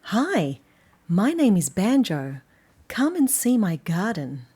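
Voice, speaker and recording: A woman with an Australian accent voicing a friendly, proud young male storybook character, speaking slowly and deliberately for a children's read-aloud. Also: mid-range voice, big pitch swings, dark tone, husky, heavily acted. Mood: proud, happy.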